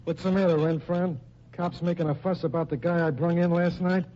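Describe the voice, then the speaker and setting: Man playing a high-pitched, defensive radio gangster. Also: steady tone, a little fast, dark tone, slight background noise, natural voice. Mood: impatient. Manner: blunt, slangy, indignant tough-guy talk. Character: gruff, defensive male gangster nightclub boss. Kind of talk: old-time radio drama acting. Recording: muffled old radio recording.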